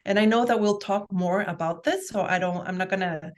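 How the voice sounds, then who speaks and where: A woman's voice: medium pitch, a little fast. Enthusiastic woman telling her story. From Guatemala. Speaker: guest, family constellations practitioner and life coach. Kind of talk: conversational personal storytelling.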